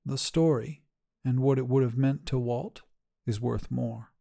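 A man plays an elderly widowed grandmother in an audio short story. The voice is mellow and soft-edged, pitched a little above his narration. She speaks earnestly and wistfully, with gentle resolve. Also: fairly even intonation, unhurried, vocal fry. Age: older.

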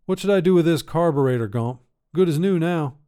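A man's voice: on the high side, expressive, brisk, mellow, smooth, voice pushed up, natural voice. Man playing an easygoing, laconic teenage grandson. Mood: easygoing, curious. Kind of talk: acted character dialogue in short fiction reading.

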